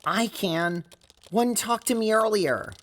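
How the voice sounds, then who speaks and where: A woman playing an earnest, feisty teenage girl in an amateur audio drama, halting and casual, blunt when annoyed. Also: mid-range voice. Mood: uneasy, hesitant.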